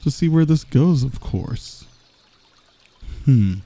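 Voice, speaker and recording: A man's voice: medium pitch, animated, slow pace, dark tone, no echo. A man playing a smug, confident villain who teases and flirts with a rival in an intimate ASMR roleplay. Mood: mocking, amused. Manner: teasing, smug, drawling taunts. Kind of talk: romantic ASMR roleplay, intimate scripted monologue.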